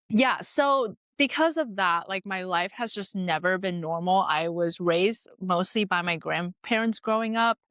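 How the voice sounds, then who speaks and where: A woman's voice: mid-range voice, a bit bright, dry recording, clean studio sound. A woman in her late twenties from Taiwan, hosting a podcast and telling her life story in a chatty, reflective way full of "like" fillers. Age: late 20s. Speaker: podcast host. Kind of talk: casual personal storytelling.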